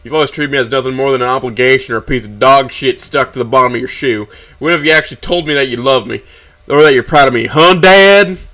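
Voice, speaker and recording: A man's voice: mid-range voice, steady tone, quick pace, hardly any pauses, bright, forceful tone, close to an everyday voice. Man playing a raw, resentful young son. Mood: bitter fury. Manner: pleading, raw, emotional outbursts. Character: desperate, resentful young son, just out of high school.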